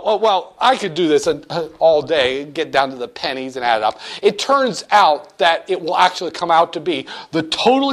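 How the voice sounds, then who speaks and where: A man giving an economics lecture in a playful way, acting out little dialogues between a banker and customers to explain his points. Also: fairly high pitch. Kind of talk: classroom lecture.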